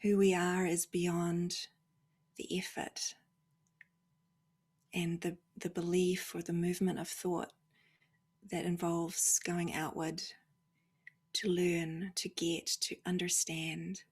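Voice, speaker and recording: Slow, breathy woman guiding meditation. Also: lowish voice, completely flat delivery. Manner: soft, hushed, often slipping into breathy near-whisper; unhurried, with long pauses between phrases. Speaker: guided meditation leader. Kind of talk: gentle guided meditation talk.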